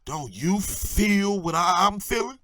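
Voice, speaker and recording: Slow, despairing, unstable gunman. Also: sits high, lively intonation, a bit bright, exaggerated voice. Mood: desperate. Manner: halting, stammering, brooding. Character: despairing, unstable male gunman, halting and desperate. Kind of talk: dramatized audio story.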